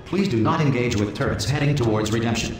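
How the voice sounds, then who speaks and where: An actor playing an impassive automated factory announcer in a video game, speaking in clipped, formal, procedural phrases. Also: male voice, medium pitch, fast, very noisy, natural voice. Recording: echoey factory announcement, loud music behind.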